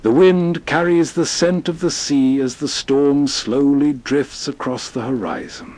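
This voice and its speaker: A man's voice: medium pitch, fairly even intonation, unhurried, darkish tone. A man hosting with scripted, scene-setting narration. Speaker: narrator host.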